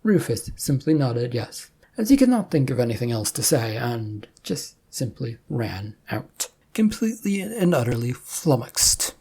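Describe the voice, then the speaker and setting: Narrator for comic fiction: dark, raspy voice, reading scripted prose. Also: medium pitch, sing-song, a little slow, hardly any pauses. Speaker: story narrator.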